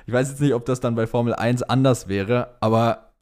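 A man's voice: medium pitch, fairly even intonation, normal speed, a little mellow, smooth. A man co-hosting a casual motorsport chat, talking informally with English slang mixed in. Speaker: podcast co-host reading listener questions.

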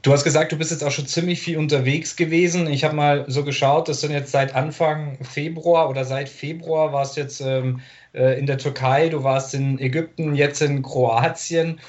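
A man's voice: on the high side, steady tone, normal speed. Chatty male interviewer, dull lo-fi sound. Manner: chatty, searching for words. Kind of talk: sports interview.

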